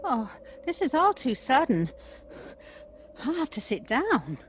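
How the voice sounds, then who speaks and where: An actress playing a startled, wonderstruck visitor to a magical world, with a dramatic, hesitant audio play delivery. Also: female voice, sits high, big pitch swings, takes it slowly, a little hiss or hum, heavily acted.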